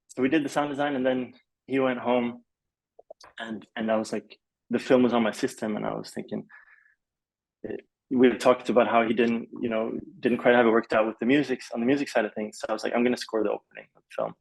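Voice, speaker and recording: Male podcast guest, fry-heavy voice, loose and halting conversational delivery, thinking aloud as he tells his story. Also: medium pitch, fairly even intonation, low-quality recording. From Malaysia. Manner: rambling, hesitant, peppered with "like" and "you know". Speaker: podcast guest, film composer. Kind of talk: casual interview storytelling.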